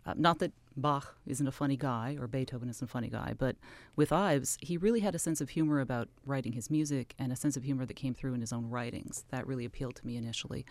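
A woman's voice: a bass voice, darkish tone, dry recording. A woman from Canada, an author and music scholar, answering questions as a radio interview guest in a thoughtful, explanatory way.

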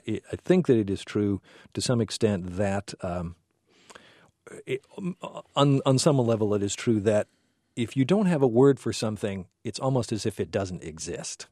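A man's voice: lowish voice, mellow, no room sound, clean studio sound. A man hosting an interview who asks long, searching questions and often restarts his sentences. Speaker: interviewer host. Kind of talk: conversational interview.

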